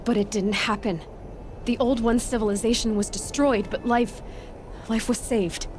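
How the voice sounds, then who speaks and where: A woman's voice: a little high, fairly even intonation, moderate pace, darkish tone, slight background noise, lightly acted. An actress playing a stubborn, questioning young female adventurer in a video game, earnest with a sardonic edge. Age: young adult. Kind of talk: dramatic video game acting.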